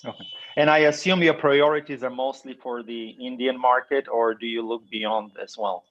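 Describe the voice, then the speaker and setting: A man from Bulgaria speaking in a measured, thoughtful way as a panelist in an online webinar. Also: mid-range voice, fairly even intonation, a bit bright. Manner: measured, thoughtful, some restarts. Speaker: webinar panelist asking questions. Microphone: computer mic on video call. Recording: muffled lo-fi webinar audio.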